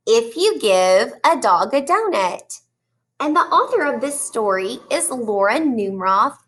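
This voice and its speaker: High, bright woman reading to children. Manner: addresses children directly with questions and praise; deliberate, expressive storytelling. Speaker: teacher reading aloud to young children. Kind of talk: children's storybook read-aloud.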